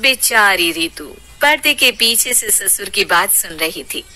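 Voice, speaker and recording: A woman narrating a scripted cartoon moral story in an expressive, dramatic storytelling manner. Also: mid-range voice, a little slow, over music.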